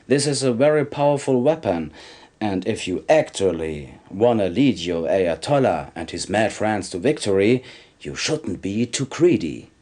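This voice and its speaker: A sly, low-voiced arms dealer. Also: male voice, big pitch swings, a little slow, a little mellow. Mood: smug, amused. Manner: smug, chuckling salesman patter. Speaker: game character actor. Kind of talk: video game cutscene acting.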